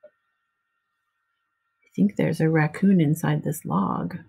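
A middle-aged woman reading a picture book aloud to young children in simple, measured storybook phrasing. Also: low voice, steady tone, creaky, dark tone, breathy edge. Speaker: preschool teacher reading aloud. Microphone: webcam at arm's length.

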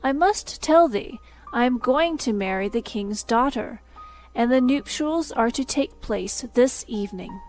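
A woman's voice: a little high, lively intonation, measured pace, a little mellow, faint music behind, rough recording. A woman voicing a scheming shadow who has become a man, giving grand, commanding orders in old-fashioned speech. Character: scheming shadow turned man, smooth and lordly.

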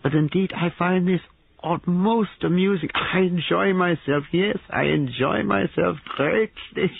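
High, jaunty eccentric old man. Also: unhurried, gravelly, slightly rough, no room sound, narrow, tinny audio, heavily acted. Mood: gleeful amusement.

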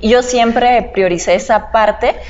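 A woman's voice: on the high side, measured pace, darkish tone, highs cut off. A young woman from Cuba talking casually in a podcast interview, explaining her thoughts in a reflective way. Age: young adult. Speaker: podcast guest, model. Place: indoor podcast set at a table.

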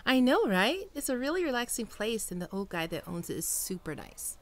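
A woman's voice: on the high side, very animated, a little fast. A woman playing a friendly young female ghoul best friend in an ASMR roleplay, chatty and playful. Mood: friendly, casual. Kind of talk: ASMR roleplay, acted one-sided dialogue.

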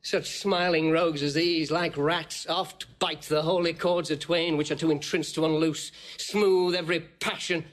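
A male actor as a blunt old nobleman in a Shakespearean audio play, high-pitched for a man. He is a hot-tempered brawler who declaims his insults with scorn. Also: steady tone, normal speed, few pauses, natural voice. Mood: scornful. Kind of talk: Shakespearean audio drama acting.

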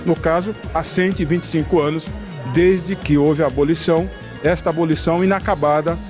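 A middle-aged man with a high voice for a man speaks emphatically, listing point after point and often tagging on "né?". He talks into a handheld mic in a large hall, with faint music behind him. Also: fairly even intonation, a little fast. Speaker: interviewee. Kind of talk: interview, political commentary. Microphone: handheld reporter mic.

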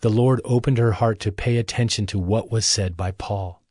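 A man narrating scripture as a scripted audio drama, in a measured, formal manner. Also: fairly low pitch, steady tone, darkish tone. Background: music.

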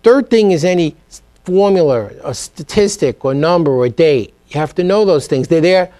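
A man's voice: fairly high pitch, expressive, moderate pace, warm tone. A middle-aged man being interviewed in a TV studio, talking emphatically and energetically about learning. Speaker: guest, speed reading teacher. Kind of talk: TV business interview. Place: TV studio set.

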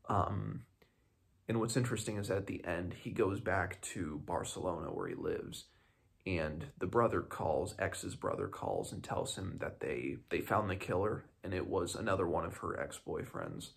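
A man's voice: low voice, moderate pace, vocal fry, breathy edge. A man in his twenties giving a casual, unscripted book review to camera in a small home room, thinking aloud hesitantly and often restarting his sentences. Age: 20s.

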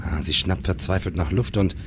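A man's voice: low voice, steady tone, normal speed, background noise, lightly acted. A man in a crime radio play, playing a driven, probing police detective who also narrates his case. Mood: urgent, probing. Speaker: radio drama actor. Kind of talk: German crime radio play acting, with first-person narration.

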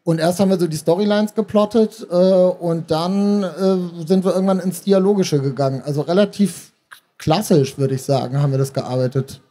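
A man's voice: high voice, moderate pace, mellow. A man in his thirties answering casually as a guest in a live interview. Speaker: podcast author being interviewed. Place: small stage at a book fair. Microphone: handheld microphone.

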